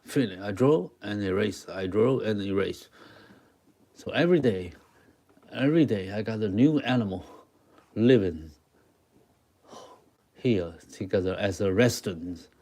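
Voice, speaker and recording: Slow-talking man with a Taiwanese accent. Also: on the low side, dark tone. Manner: halting, repeats short phrases, talks to viewers. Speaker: live-stream drawing artist and host. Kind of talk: casual live-stream chat with drawing demonstration.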